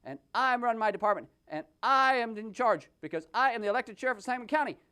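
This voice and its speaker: A man's voice: very high-pitched, expressive, quick pace, bright, forceful tone, clean tone, exaggerated voice. A man voicing a pompous, self-important county sheriff puppet who makes blustering declarations.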